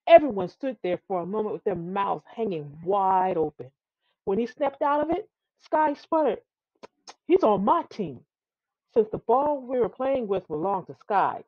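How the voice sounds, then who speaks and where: A woman's voice: medium pitch, expressive, normal speed, no echo, phone-quality sound. A woman reading a children's tall tale aloud, expressively and with some stumbles over the words. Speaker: storybook reader.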